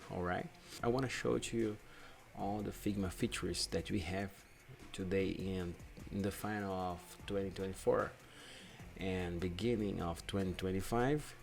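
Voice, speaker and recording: A young man from Brazil with a Brazilian Portuguese accent, hosting a screen-share software tutorial in non-native English and often restarting his phrases. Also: deep voice, creaky. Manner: hesitant, repeats and restarts phrases. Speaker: UX/UI designer and channel host.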